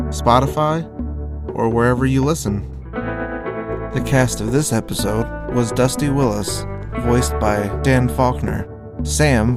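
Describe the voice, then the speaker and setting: Slow-paced male announcer over guitar music. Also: mid-range voice. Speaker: podcast announcer reading credits. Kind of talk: scripted outro narration.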